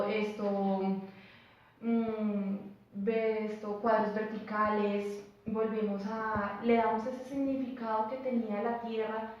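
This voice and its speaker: A woman teacher speaking on a panel in an earnest way, with restarts and pauses while she searches for words. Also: on the high side, darkish tone, slight background noise. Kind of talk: academic panel discussion. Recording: dull, echoey, distant room sound.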